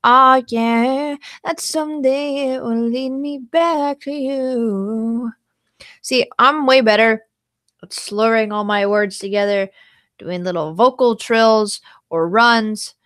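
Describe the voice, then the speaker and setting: Young woman streamer, unhurried rambling chat, higher voice, dry close sound. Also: a bit bright, dry recording. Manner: rambling, trails off into song snippets. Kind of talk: casual livestream chat with singing.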